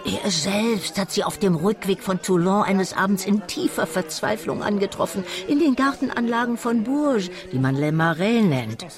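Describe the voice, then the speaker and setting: A woman's voice: medium pitch, animated, moderate pace, hardly any pauses, music in the background, close to an everyday voice. An actress playing a chattering, argumentative peasant woman gossiping at a feast in a German radio drama. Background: crowd chatter.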